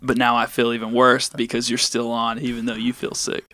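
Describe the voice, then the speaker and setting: A young man co-hosting a podcast, chatting casually with dry, quick-witted remarks. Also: mid-range voice, completely flat delivery, pushed and bright, dry recording. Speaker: podcast co-host. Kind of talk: casual chat.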